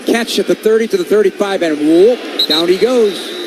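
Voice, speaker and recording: A man's voice: high voice, moderate pace. A man calling football play-by-play live on the radio in clipped, vivid descriptions. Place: stadium broadcast booth. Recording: live booth with clear background noise.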